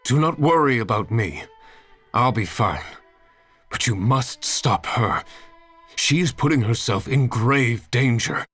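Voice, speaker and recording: A man voicing a weakened, grateful traveler in a video game, speaking in a halting, earnest way. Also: medium pitch, expressive, fairly bright tone, breathy edge, soft background music, full character voice. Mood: earnest, worried. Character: weakened, grateful male traveler rescued from freezing. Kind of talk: video game character acting.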